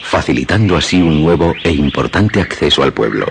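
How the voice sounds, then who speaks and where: A man with a Castilian Spanish accent narrating a scripted radio drama in measured, literary, dramatic phrasing. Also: rumbling low, expressive, vocal fry, very noisy. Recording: music under the narration. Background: music.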